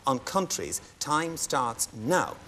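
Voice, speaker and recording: A man hosting a television quiz show, announcing clues and scores in a crisp, formal way and lifting into cheers for winners. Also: mid-range voice, sing-song, takes it slowly, pushed and bright. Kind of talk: brisk game-show hosting. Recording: studio audience and background noise.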